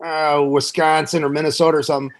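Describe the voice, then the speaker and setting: Chatty Chicago man, a bit high. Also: flat delivery, quick pace. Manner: chatty, laughs often. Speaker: former pro wrestler, guest. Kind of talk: casual interview chat.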